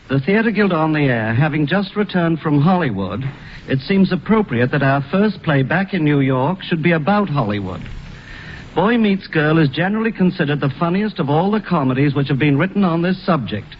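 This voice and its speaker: Formal man, fairly high voice, old radio. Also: expressive, normal speed. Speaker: theatrical producer introducing the play. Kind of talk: scripted 1940s radio introduction. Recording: dull vintage radio, faint noise.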